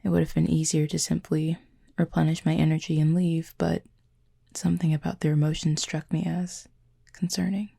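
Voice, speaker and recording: A woman playing a gentle, formal, wounded cupid in an intimate audio roleplay, speaking softly in measured, breathy phrases. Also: low voice, level tone, heavily acted. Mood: grave, measured. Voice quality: husky, breathy, creaky. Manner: measured, formal, soft-spoken with breathy pauses.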